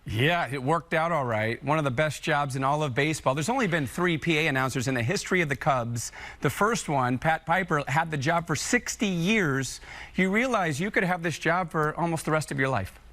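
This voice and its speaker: A man's voice: on the high side, lively intonation, a little fast, a bit bright. An American man hosting a TV talk show, interviewing in an upbeat, conversational way.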